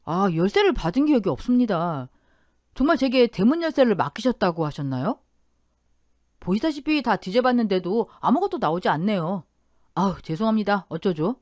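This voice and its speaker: A woman's voice: mid-range voice, sing-song, moderate pace, a little mellow, dry recording, clean studio sound, close to an everyday voice. A woman voicing a real estate broker who hesitates and talks evasively. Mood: hesitant.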